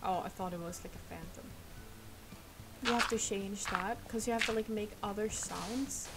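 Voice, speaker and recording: Swedish girl streaming, sore throat, rambly soft chat, loud noisy mic. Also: medium pitch, normal speed. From Sweden. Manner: rambling, soft, laughs often. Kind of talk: casual chat while gaming. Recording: loud noise behind the voice.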